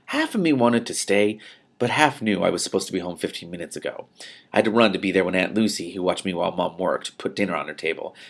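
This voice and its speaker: A man reading a novel aloud as host, in an expressive style with emphatic phrasing and off-the-cuff commentary. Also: low voice, fast talker, vocal fry. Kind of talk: expressive audiobook-style reading with spontaneous reactions.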